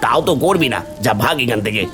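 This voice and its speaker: Man voicing a callous, fast-talking village man. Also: medium pitch, animated, over music, natural voice. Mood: scornful. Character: callous, dismissive village man refusing a beggar. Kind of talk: animated fairy-tale cartoon dialogue.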